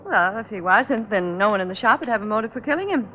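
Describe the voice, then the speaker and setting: An American actress playing a guarded young salon masseuse with polite, clipped control, in old radio drama style. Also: female voice, medium pitch, expressive, fast talker, lightly acted. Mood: cold, controlled. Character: guarded young female salon masseuse hiding cold resentment. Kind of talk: 1940s-50s American radio drama acting. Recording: muffled, noisy old radio recording.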